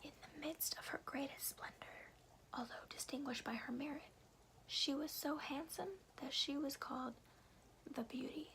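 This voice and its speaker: A woman softly and carefully whispering in a hushed, breathy ASMR style, as a storyteller reading to the listener. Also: sits high, steady tone, normal speed, rough recording. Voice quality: breathy whisper with some creak. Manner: soft, careful, hushed delivery.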